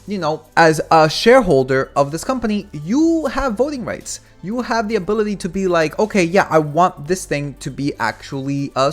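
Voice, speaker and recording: Animated young man from Cuba. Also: sits high. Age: 20s. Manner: rambling, animated, addresses viewers as guys. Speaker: finance YouTuber. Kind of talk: casual market commentary.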